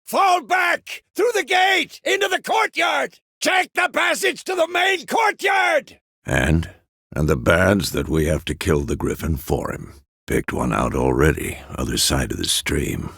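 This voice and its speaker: A man playing a gruff, weathered old monster-hunter mentor in a video game, terse and dry, with a gravelly voice. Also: rumbling low, a little slow, no echo, exaggerated voice. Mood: calm, matter-of-fact. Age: older. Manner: terse, clipped phrases, dry. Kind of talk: scripted video game character dialogue.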